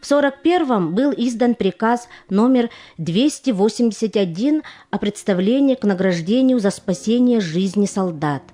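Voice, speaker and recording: A woman narrating a scripted documentary drama in a solemn, measured manner. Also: mid-range voice, lively intonation, mellow, soft background music.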